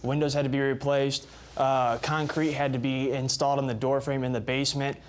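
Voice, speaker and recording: A man's voice: medium pitch, level tone, brisk. A man giving testimony as a plaintiff in a TV court, explaining his side insistently.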